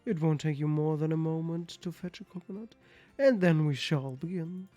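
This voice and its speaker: A woman's voice: low-pitched, mellow, dry recording, close to an everyday voice. A woman with a German accent voicing a haughty, entitled female cat in a visual novel, with formal, pompous phrasing. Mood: haughty, curious. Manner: formal, pompous, slow and theatrical. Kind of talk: acted reading of a visual novel. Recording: music behind the voice.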